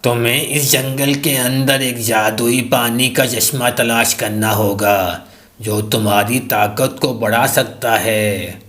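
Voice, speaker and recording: A storyteller voicing a wise old male talking tree in a deep, solemn voice for a children's story. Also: fairly even intonation, slow, a bit bright. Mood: solemn, instructing.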